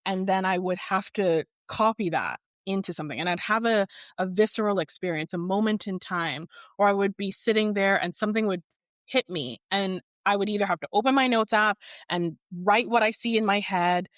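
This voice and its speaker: A woman's voice: medium pitch, moderate pace, no echo. A woman from Barbados in her thirties, a therapist guest on a podcast, speaking thoughtfully and articulately with lots of "like". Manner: articulate, thoughtful, heavy use of "like". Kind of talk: reflective conversational interview.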